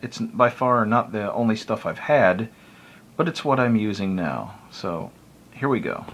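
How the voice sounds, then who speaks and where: A man's voice: lowish voice, lively intonation, unhurried, fairly bright tone, slight background noise. An American man giving a casual, unscripted explanation as a video blogger, talking in a rambling, conversational way. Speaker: video blogger, radio hobbyist.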